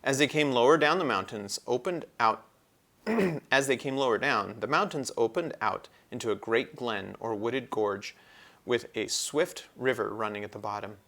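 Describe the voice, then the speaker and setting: A man's voice: mid-range voice, vocal fry, a bit bright. A man narrating a children's storybook as a read-aloud. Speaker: storybook reader.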